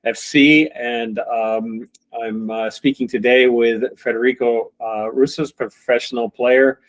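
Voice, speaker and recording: A man hosting an interview as a club founder, asking his questions in a halting, conversational way. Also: mid-range voice, fairly even intonation, rough recording. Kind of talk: casual interview.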